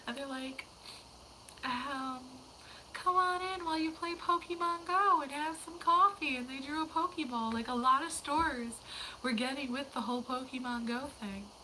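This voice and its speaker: A young woman hosting a casual livestream, hoarse and losing her voice to a cold, chatting in a rambling way that slips into whispers. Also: high-pitched, moderate pace, pushed and bright, slight background noise, poor audio. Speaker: livestream host.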